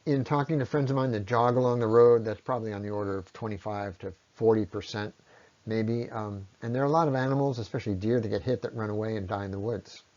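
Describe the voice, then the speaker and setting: A man giving an explanatory science lecture in a measured way, pausing often and letting his phrases trail off at the ends. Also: on the low side, a little mellow. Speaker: presenter on ecology. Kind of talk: explanatory lecture on environmental impact. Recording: dull, lo-fi voice recording.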